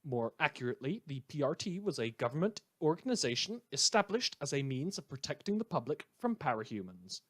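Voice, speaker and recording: A man playing a wry community radio host in a fan audio drama, delivering scripted narration in a measured, theatrical deadpan. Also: a little high. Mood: dry, wry. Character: wry male community radio host telling eerie tales.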